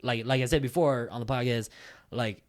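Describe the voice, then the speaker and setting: A man hosts a relaxed podcast conversation with old friends. His talk is slangy and easygoing, with casual swearing and frequent laughter, in a mid-pitched voice. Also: normal speed. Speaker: podcast host.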